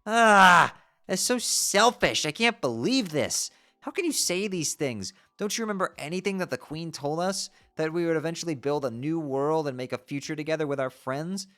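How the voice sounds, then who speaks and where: A performer voicing a fierce teenage girl who scolds and yells in exasperation. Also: mid-range voice, animated, normal speed. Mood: indignant.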